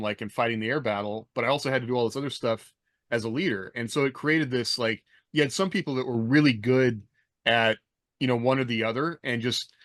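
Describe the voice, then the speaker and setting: A man's voice: mid-range voice, fairly bright tone, dry recording. Man explaining casually, dry close sound. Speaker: podcast panelist, military veteran.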